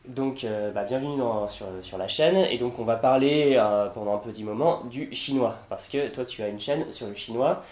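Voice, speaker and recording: A man's voice: medium pitch, normal speed, hardly any pauses, a little mellow, echoey room. A man hosting an interview, asking questions with many fillers and restarts. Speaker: host, interviewer.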